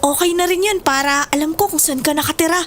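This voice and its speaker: A woman's voice: high-pitched, quick pace, fairly bright tone. A Filipino woman playing a feisty, spirited young friend who talks in slang in an audio drama. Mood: cheerful. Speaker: voice actor. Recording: music under the voice.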